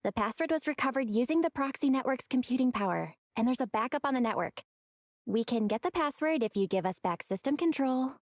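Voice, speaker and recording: Game heroine: plucky, clever young sister, high voice, close dry sound, measured confidence. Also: female voice, animated, no echo. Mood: composed, wary. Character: young sister, shrewd, composed, plucky underground operator. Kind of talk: English video game voice acting.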